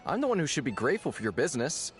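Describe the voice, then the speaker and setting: A man's voice: fairly high pitch, sing-song, very fast. A man playing an affable, easygoing innkeeper in a video game, casual and chatty in manner. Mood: genial, reassuring. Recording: background music under the voice.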